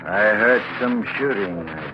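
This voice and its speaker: Man acting a slow, ominous stranger. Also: fairly low pitch, noisy background, natural voice. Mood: ominous, calm. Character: mysterious, all-knowing stranger questioning a survivor. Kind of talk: 1940s radio mystery acting. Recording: muffled 1940s radio recording.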